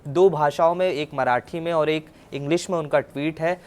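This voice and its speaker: A man's voice: fairly high pitch, fairly even intonation, moderate pace, smooth. A man presenting a news report, explaining as he goes and often restating his points.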